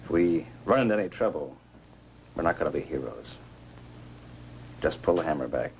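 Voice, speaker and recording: A man's voice: rumbling low, animated, moderate pace, gravelly, darkish tone, heavily acted, poor audio. An American actor playing a stern, pragmatic man who takes command, in 1950s science fiction film style. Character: stern, pragmatic man leading a monster hunt. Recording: hissy, humming 1950s film soundtrack.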